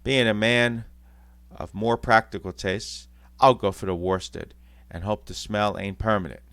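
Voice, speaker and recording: A man's voice: lowish voice, steady tone, unhurried, fairly bright tone, dry recording. A man voicing Sparsit, a sly and greedy man with a mocking drawl.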